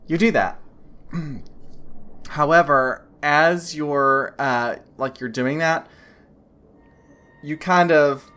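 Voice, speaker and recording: A woman's voice: low voice, fairly bright tone. A woman running a tabletop game as game master, narrating loosely with many filler words. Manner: loose, full of "like" and "kinda" fillers.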